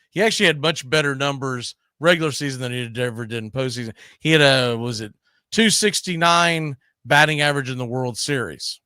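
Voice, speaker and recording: A man with a slightly high voice hosts a casual sports discussion. He talks conversationally, tossing in little check-in questions as he makes his points. The recording is dry and close, with little room sound. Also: pushed and bright, no room sound. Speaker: host of a baseball talk show.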